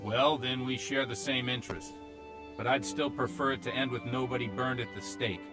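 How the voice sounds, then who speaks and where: A man acts as a village priest in a game cutscene, an older cleric with a mid-pitched voice. He is careful and plain-spoken, protective of his flock and earnest in conversation. Music plays behind him. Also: fairly even intonation, moderate pace, close to an everyday voice. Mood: guarded. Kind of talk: video game cutscene acting.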